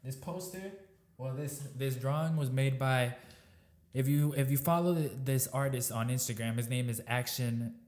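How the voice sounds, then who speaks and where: A man's voice: mid-range voice, normal speed, a little mellow. Rambling young man hosting a podcast. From born in New York, raised in Tampa, Florida. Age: 20s. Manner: rambling, repeats himself, swears casually. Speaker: podcast co-host. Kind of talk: casual podcast chat.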